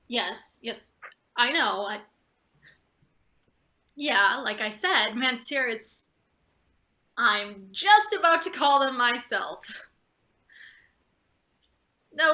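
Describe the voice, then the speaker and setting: An actress plays a ruthless office boss in a stage drama reading. Her voice is high-pitched and very bright, cutting and forceful. She is irritable and bullying, pressing hard with curt phrasing. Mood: flustered, placating. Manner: curt, pressing, stammers deferentially to superiors. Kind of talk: live theatre table read.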